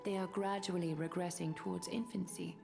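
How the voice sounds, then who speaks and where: A woman voicing a poised, composed noble leader in a video game, with a measured, elegant, dry delivery. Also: on the low side, fairly even intonation, vocal fry, over music. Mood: calm.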